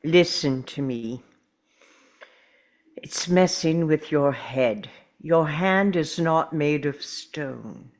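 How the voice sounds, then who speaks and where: An actor in a script table read playing an urgent, stubborn, protective time traveller, speaking earnestly and deliberately. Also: medium pitch, lively intonation. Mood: intense, urgent.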